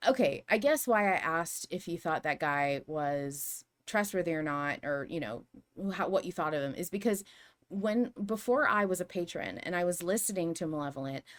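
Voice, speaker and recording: A woman hosting a fan podcast, chatting casually in an animated, opinionated way with some swearing. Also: lowish voice, moderate pace. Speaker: podcast host.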